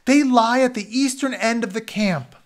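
A man's voice: extremely high pitch, moderate pace, pitched above the performer's own voice. A man voicing a frightened male spy in a storybook, stammering and pleading for his life. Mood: panicked pleading. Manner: stammering, repeating words in panic.

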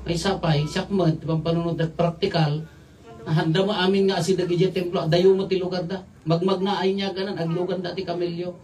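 A middle-aged man giving an informal homily as a priest, conversational and jokey, in halting phrases with rhetorical questions. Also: high-pitched, level tone, a little mellow. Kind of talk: informal homily mixing local language with English terms. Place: outdoors at night at a makeshift altar. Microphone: microphone on a stand, filmed from afar. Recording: distant, slightly off-mic capture.

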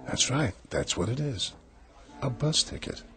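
An actor playing a mysterious, calm old peddler in a radio drama, speaking in gentle, cryptic phrases. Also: male voice, mid-range voice, measured pace. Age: older. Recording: radio play with music underneath.

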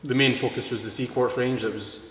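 A Scottish man in his thirties, a guest explaining things in a relaxed, matter-of-fact way in a casual interview. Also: mid-range voice, fairly quick. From Scotland. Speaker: guest, product distributor. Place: large indoor car showroom. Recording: echoey hall, faint room noise.

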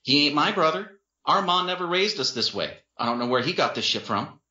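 A man performs a fiery young woman in an audiobook, her pitch pushed high and forceful. She scolds like a protective older sister, brash and full of street slang. The recording is roomy and slightly dull. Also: sing-song, heavily acted. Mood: scornful, angry. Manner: rapid-fire, brash, sweary, street slang.

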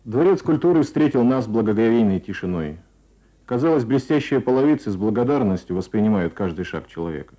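A man's voice: fairly low pitch, animated, a little fast, mellow. A man reading scripted, literary Soviet-style radio reportage.

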